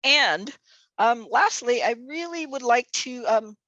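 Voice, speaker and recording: A woman's voice: fairly high pitch, animated, slow pace, pushed and bright, dry recording, dull audio. A woman whose first language is Tagalog, hosting a virtual event as executive director, chatty and upbeat with many fillers, speaking over a video call. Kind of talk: virtual event welcome and announcements. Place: home or office on a video call.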